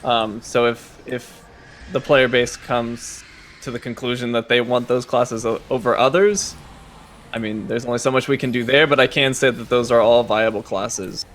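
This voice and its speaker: Man, raspy, hoarse from a cold, hesitant, faint background hiss. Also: mid-range voice, steady tone, moderate pace, fairly bright tone. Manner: hesitant, restarts sentences. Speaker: game producer, guest. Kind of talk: casual chat while playing.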